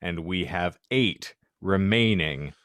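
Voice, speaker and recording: A man co-hosting a casual podcast, bantering and reading aloud with deliberate emphasis and joking asides. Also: deep voice, animated, fairly bright tone, no echo. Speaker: podcast co-host.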